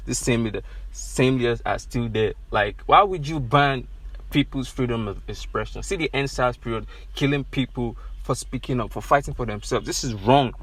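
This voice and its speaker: A man's voice: mid-range voice, moderate pace, fairly bright tone, slightly rough. A young Nigerian man hosting his own podcast, speaking earnestly and with growing passion, recorded inside a car. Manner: earnest, repetitive, rising to passionate emphasis. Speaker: podcast host. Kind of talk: solo podcast monologue.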